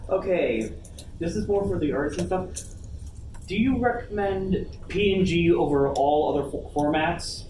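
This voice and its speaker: A man's voice: fairly high pitch, animated, measured pace, darkish tone. A man speaking casually as a participant in a workshop question session. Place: convention panel room. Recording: distant, echoey noisy room.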